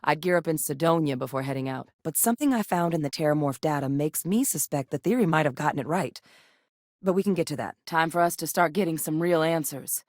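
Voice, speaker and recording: A woman voicing a steady, low-voiced space officer. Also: a little fast, no room sound, natural voice. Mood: determined. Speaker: game companion character. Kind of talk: game voice lines. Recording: dry studio recording.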